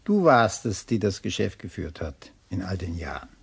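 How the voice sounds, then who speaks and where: Husky, lively-pitched fond suitor. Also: male voice, normal speed, full character voice. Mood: tender, earnest. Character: solicitous male suitor, fond and persistent. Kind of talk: German crime radio play acting.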